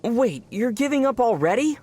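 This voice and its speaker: A woman's voice: a little high, very animated, normal speed, warm tone. A woman voicing a young male adventurer in a video game, good-natured and wry, with a playful teasing manner. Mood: curious. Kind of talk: video game character voice lines.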